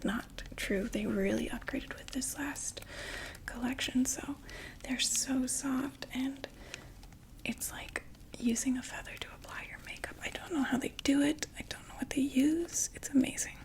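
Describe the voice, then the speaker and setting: A woman voicing a chatty undead vampire makeup saleswoman in a soft, gently persuasive ASMR whisper. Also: sits high, unhurried. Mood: hushed sales pitch. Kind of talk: ASMR roleplay.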